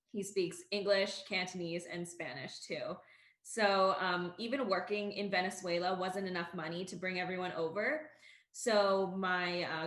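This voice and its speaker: A young woman from Canada co-hosts a casual talk and tells a family story earnestly and at an even pace. Her voice sits at a middling pitch and carries some room echo. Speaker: co-host. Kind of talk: casual chat.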